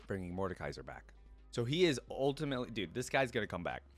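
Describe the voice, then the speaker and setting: Casting: young male reaction host, lowish voice, thinking out loud in loose, informal commentary. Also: big pitch swings, fast talker, soft background music. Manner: informal, musing aloud.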